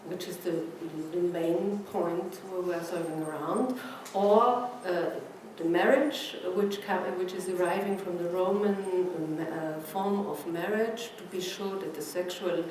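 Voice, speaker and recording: A woman from Austria, a native German speaker with an Austrian German accent, gives an academic conference lecture, haltingly searching for words. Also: on the low side, darkish tone. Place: presentation room with an audience. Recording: echoey room, distant mic, noisy background.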